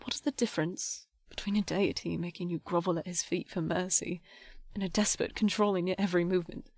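A woman's voice: fairly low pitch, fairly even intonation, a little fast, darkish tone, breathy edge, dry recording. A young woman playing a disillusioned, grieving teenage priestess in an audio drama, speaking in a formal, articulate way. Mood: challenging, intense. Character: disillusioned nineteen-year-old priestess, defiant, grieving, bitter.

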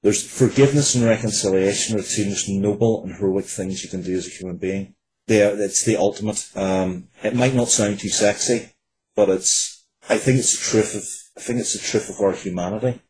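Low-voiced Northern Irish man, noisy background. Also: fairly even intonation, brisk, darkish tone. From Northern Ireland. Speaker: guest, writer. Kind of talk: conversational interview talk.

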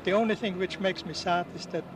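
An elderly man in his seventies, born in Austria, recalls his past in an earnest, halting way. His voice sits a little high and is picked up from some distance outdoors, with clear street noise around him. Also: fairly even intonation. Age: 70s. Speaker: war veteran interviewee. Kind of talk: outdoor interview, recounting memories. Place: outdoors at a public ceremony. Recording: distant mic, noisy outdoors.

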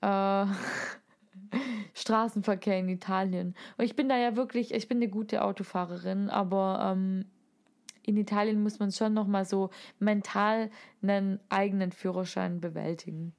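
A woman's voice: mid-range voice, fairly even intonation, measured pace, a little mellow. A woman telling anecdotes in a casual, enthusiastic, playful way, using slangy words as a podcast co-host.

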